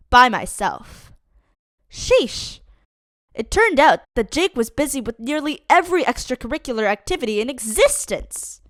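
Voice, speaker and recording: A woman giving a lively, expressive read-aloud of a children's picture book. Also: high-pitched, no echo, studio quality. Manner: lively, expressive reading for kids.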